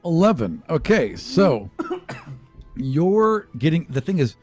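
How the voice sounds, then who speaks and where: A man's voice: a little high, sing-song, slow pace. A man running a tabletop game as game master, narrating the action casually and improvising as he talks. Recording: music behind the voice. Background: background music.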